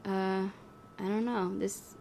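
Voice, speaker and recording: A young woman with a slightly raspy voice reads a horror visual novel aloud at a medium pitch, hushing some lines down to near whispers for effect. Her asides are hesitant and full of fillers. Loud game music plays under her. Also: steady tone, takes it slowly, a little hiss or hum. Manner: hesitant asides with frequent fillers, dramatic hushed reading. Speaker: let's play host.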